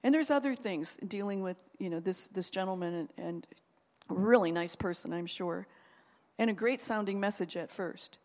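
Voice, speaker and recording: A woman pastor preaches in a lively, conversational way, acting out quotes along the way. Her voice sits at an ordinary middle pitch. A long reverb trails each phrase, as in a church hall. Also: moderate pace. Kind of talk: sermon.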